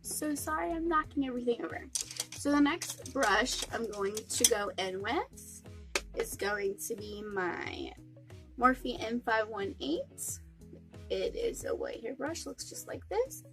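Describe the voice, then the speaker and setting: A woman's voice: fairly high pitch, sing-song, measured pace, over music. A young woman with a cold and a stuffy nose, chatting through a makeup tutorial step by step. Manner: chatty, friendly step-by-step explaining. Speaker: beauty vlogger.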